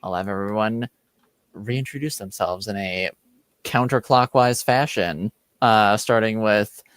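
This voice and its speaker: A man's voice: fairly low pitch, a little slow, fairly bright tone, clean tone, no echo. A man hosting a tabletop roleplaying stream as game master, casual and joking, often poking fun at himself. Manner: joking, self-deprecating.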